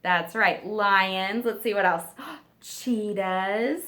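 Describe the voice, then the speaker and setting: A woman teacher reading a nonfiction book aloud to children, stressing key words and pausing for simple questions and explanations. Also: medium pitch, bright, forceful tone, slight background noise.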